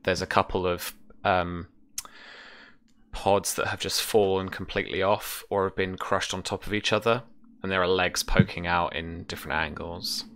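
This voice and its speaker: Low-voiced man narrating a tabletop game. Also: fairly even intonation, moderate pace, a bit bright. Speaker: game master. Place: home room, indoors.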